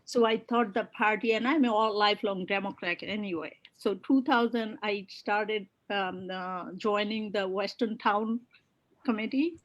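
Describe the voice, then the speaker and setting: A middle-aged woman from Bangladesh, a political activist who tells her personal story in an earnest, halting way with many fillers and restarts. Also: mid-range voice, lo-fi sound. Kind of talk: personal story in a virtual panel.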